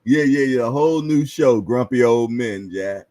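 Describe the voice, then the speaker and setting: A man's voice: mid-range voice, normal speed, warm tone, no room sound. A man in his fifties hosting a boxing livestream, chatting casually and reading viewer comments, chuckling and repeating phrases with slangy banter. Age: 50s.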